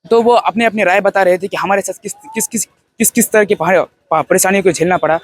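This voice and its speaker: A young man speaks in a notably high voice, recorded dry and close to echo-free. He gives an informal spoken review, earnest but halting, circling back over his phrases as he builds each point. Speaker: film festival participant.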